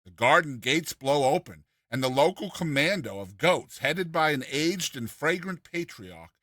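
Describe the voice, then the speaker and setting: Male narrator for humorous short fiction: crisp forward brightness, mock-earnest theatrical reading, very dry studio-like sound. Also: on the high side, lively intonation, a little slow, no echo. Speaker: reader and narrator of a short story. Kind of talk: dramatized storytelling narration of a humorous classic essay.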